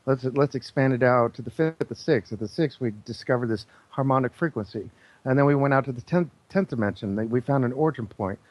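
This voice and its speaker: A man's voice: medium pitch, fairly quick, dry recording, lo-fi sound. A man talking casually as a show guest, explaining things in a rambling, earnest, insistent way.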